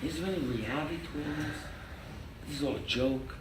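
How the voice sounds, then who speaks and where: An older man teaching a lively interactive Torah class as a rabbi, quizzing his audience and joking. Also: fairly high pitch, normal speed. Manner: animated, quizzes the audience, jokes. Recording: echoey room from far off with noise.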